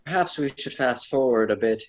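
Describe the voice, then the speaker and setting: A man's voice: medium pitch, fairly quick, faint music behind, natural voice. A man playing a courteous, lofty ancient poet and guide in a radio drama, speaking formally and theatrically. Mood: guiding.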